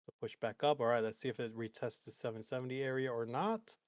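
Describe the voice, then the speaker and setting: Man, middle pitch, live trading commentary, chatty, laughs easily, dry sound. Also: steady tone, fast, no echo. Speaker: host trader.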